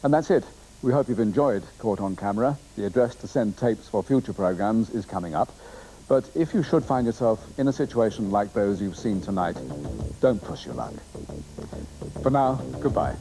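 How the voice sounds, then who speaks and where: A man's voice: fairly low pitch, lively intonation, normal speed, dark tone, faint music behind, poor audio. A man with a British accent presenting and narrating a television programme from a script.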